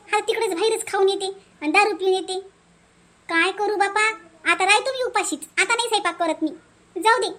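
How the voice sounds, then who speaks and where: A woman's voice: very high-pitched, a bit bright. A woman voicing a put-upon young village daughter-in-law in a cartoon, with a Varhadi Marathi accent and plaintive, grumbling delivery. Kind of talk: animated family comedy dialogue.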